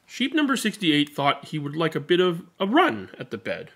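A man in his thirties doing an expressive picture-book read-aloud with casual commentary. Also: a little high, moderate pace. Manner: expressive, animated storytelling. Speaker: read-aloud storyteller and host. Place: small indoor room at home.

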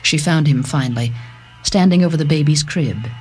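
A woman's voice: low voice, moderate pace, darkish tone. A woman giving a dramatic scripted reading of a short story. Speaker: story narrator. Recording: music bed behind the voice.

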